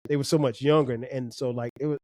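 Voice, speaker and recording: A man's voice: medium pitch, fairly even intonation, fast, a little mellow, dry recording, clean studio sound. A man from Florence, hosting a podcast in an easygoing, talkative way, with long, wandering questions. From Florence, South Carolina. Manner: easygoing, laughs often, rambling questions. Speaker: podcast host. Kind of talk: casual interview conversation.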